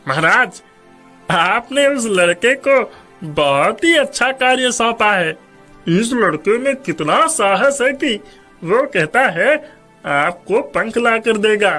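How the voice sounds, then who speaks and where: A man's voice: sits high, expressive, takes it slowly, bright, forceful tone, faint music behind, exaggerated voice. A man voicing a scheming, snobbish royal minister in a cartoon story, deferential and theatrical. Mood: sly. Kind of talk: Hindi cartoon story character dubbing.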